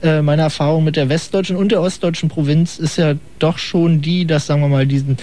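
A man's voice: a little high, fairly even intonation, fairly quick, mellow. A man hosting a radio call-in show, asking wordy, ironic questions in casual chat.

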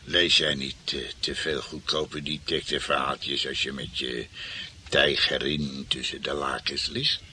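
An actor playing a dry, probing detective colleague in a radio play, needling and resentful underneath. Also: male voice, extremely low pitch, level tone, measured pace, sharp tone, a little hiss or hum, heavily acted. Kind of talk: Dutch radio play acting.

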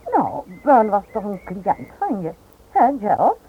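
A woman's voice: mid-range voice, huge pitch swings, unhurried, husky, narrow, tinny audio, full character voice. A woman playing a well-bred, teasing, chatty wife in a radio drama. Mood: chatty, curious. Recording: thin, noisy old radio recording.

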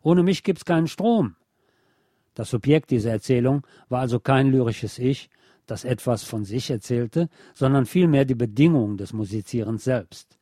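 A man reading a scripted radio documentary as narrator in a measured, literate and factual manner. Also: mid-range voice, mellow, dry recording, studio quality.

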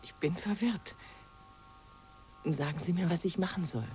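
An actress playing a confused, insistent woman in an intimate radio play, often dropping into a breathy whisper. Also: on the low side, a little slow, warm tone, faint music behind, natural voice. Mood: pleading, intimate. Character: confused, insistent woman before a painter's mirror.

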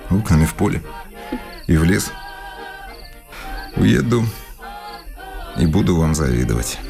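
An actor playing a wistful, self-mocking schoolteacher in a radio play, speaking with hesitant irony and melancholy. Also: male voice, low voice, sing-song, unhurried, creaky, exaggerated voice. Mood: wistful, probing. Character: wistful, self-mocking city schoolteacher saying farewell before leaving. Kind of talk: Russian radio play acting. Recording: music behind the voice.

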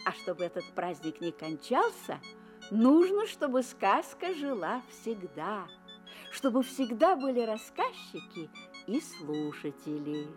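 Wondering fairy-tale guide, kindly, theatrical, slow, lilting, speaking to children, light music underneath. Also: female voice, medium pitch. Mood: welcoming. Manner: inviting, addresses children, unhurried, expressive. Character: kindly, wondering female Keeper of Fairy Tales. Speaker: storyteller and guide.

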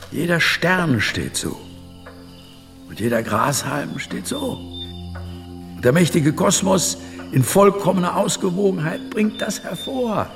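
An actor playing an elderly, learned insect collector in a radio play, rapt and musing, savouring each phrase. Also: male voice, high-pitched, vocal fry, heavily acted. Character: elderly learned male insect collector and merchant, rapturous, philosophical. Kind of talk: German radio play acting. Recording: long reverb, music behind.

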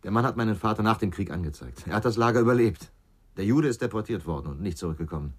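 A middle-aged former policeman in a radio crime drama. His voice sits low, and he talks in short, grave sentences with a brooding weight. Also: animated, quick pace, breathy edge. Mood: grave, insistent. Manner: terse, grave. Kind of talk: naturalistic radio drama acting.

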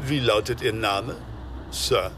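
A man playing an impassive, scrupulously polite butler in a radio drama, deferential and measured. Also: fairly low pitch, background noise. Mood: polite, reserved. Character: formal, impassive male English butler.

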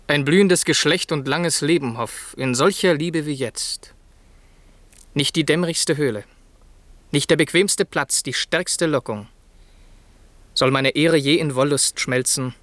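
A young noble suitor in a classic radio drama. The voice is a touch higher than most men's and closely recorded without echo. He delivers theatrical verse with formal, earnest fervour. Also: unhurried, long pauses, fairly bright tone. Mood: earnest, fervent. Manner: fervent, formal vowing.

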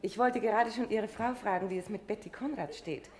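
A woman's voice: mid-range voice, a little fast, darkish tone, close to an everyday voice. An actress playing a courteous, guarded woman dressmaker who turns evasive under questioning, in a 1950s radio play. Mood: friendly.

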